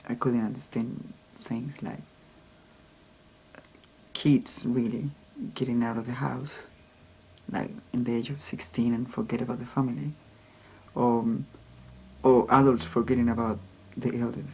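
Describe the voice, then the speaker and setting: A man with a Spanish accent, a native Spanish speaker and immigrant musician, speaking earnestly and haltingly in a documentary interview. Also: mid-range voice, little pitch movement, creaky, dark tone, slight background noise, poor audio.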